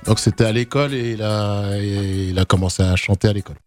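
A man, a musician guest who also interprets, speaking quickly and informally. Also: on the low side, steady tone, soft background music.

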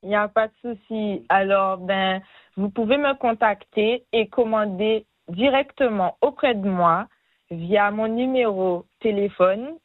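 A woman's voice: medium pitch, dry recording, phone-quality sound. A woman, answering informally as an interview guest. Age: 20s. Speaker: interview guest, small business owner and designer.

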